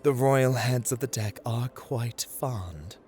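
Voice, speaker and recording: A man's voice: mid-range voice, takes it slowly, darkish tone, a little hiss or hum, lightly acted. A man playing a haughty, playful god of luck in an ASMR roleplay, speaking in a theatrical, teasing, formal way that drifts into whispers. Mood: taunting, dramatic. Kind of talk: ASMR roleplay, scripted dramatic monologue.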